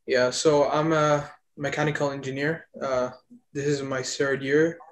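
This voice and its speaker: Even-toned young man. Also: mid-range voice, measured pace. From Ethiopia. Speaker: podcast guest. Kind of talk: casual podcast conversation.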